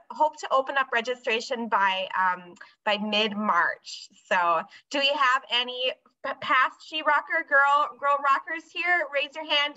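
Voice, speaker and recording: A woman's voice: high voice, bright, forceful tone, lo-fi sound. A woman announcing events for a nonprofit on a video call, enthusiastic and cheering. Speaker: nonprofit host. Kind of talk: casual announcements.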